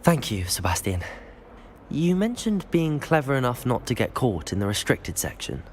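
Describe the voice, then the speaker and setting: An actor playing an eager, earnest young student wizard in a video game, conversational. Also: male voice, mid-range voice, sing-song, moderate pace, lightly acted. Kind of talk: video game dialogue acting. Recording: faint background noise.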